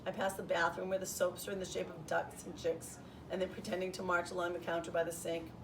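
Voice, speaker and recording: A woman's voice: on the low side, flat delivery, quick pace. Distant-miked woman reading a children's storybook. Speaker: third grade teacher reading aloud. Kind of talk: children's storybook read-aloud in the first person. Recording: distant mic, roomy background noise.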